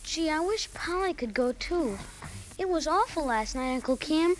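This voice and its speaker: An actor, a woman by voice, playing an eager, excitable young boy in a 1950s radio drama. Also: high-pitched, moderate pace. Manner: eager, exclaiming. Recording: noisy 1950s radio broadcast recording.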